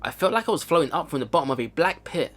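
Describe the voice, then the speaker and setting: A man's voice: on the high side, animated, rapid-fire. A man hosting a let's play, reading game narration aloud and reacting casually. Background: game music.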